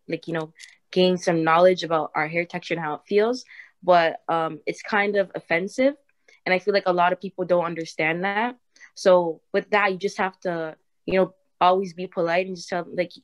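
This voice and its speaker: A teenage girl student panelist speaking thoughtfully with many fillers on a video call. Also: on the low side. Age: teens. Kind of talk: casual panel discussion. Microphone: webcam video call.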